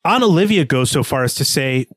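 A man's voice: on the high side, animated, a little fast, fairly bright tone, no echo, studio quality. A man speaking analytically and articulately as a podcast co-host, discussing literature in a conversational way.

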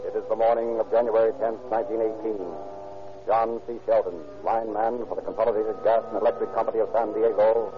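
A man's voice: lowish voice, lo-fi sound. A man announcing and narrating in scripted 1930s radio style, formal, emphatic and declamatory. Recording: old radio recording with music.

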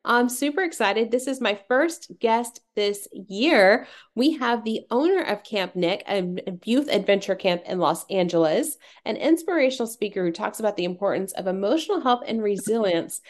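A woman hosting a podcast, reading an upbeat, motivational intro and then welcoming and questioning her guest. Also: a little high. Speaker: podcast host.

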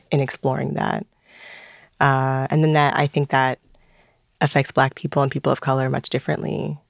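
A woman's voice: low-pitched, creaky, no echo, clean studio sound. A woman in her thirties from Trinidad and Tobago, raised in South Florida, answering interview questions in a thoughtful, hesitant way. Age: 30s. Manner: thoughtful, hesitant, laughs easily.

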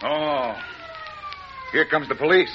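An actor playing a brisk, doubting adult crimefighter in 1940s radio style. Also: male voice, on the high side, expressive. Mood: doubtful, amazed. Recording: muffled, noisy old radio recording.

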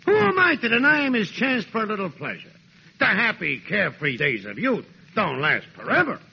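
A smug American buddy who fancies himself an expert. The voice is high, raspy and leaps around, in the broad style of forties radio comedy, on a muffled old recording. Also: male voice, wildly swooping pitch, brisk, heavily acted. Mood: smugly advising. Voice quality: gravelly, raspy. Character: cocky know-it-all neighbor pal, self-appointed psychologist.

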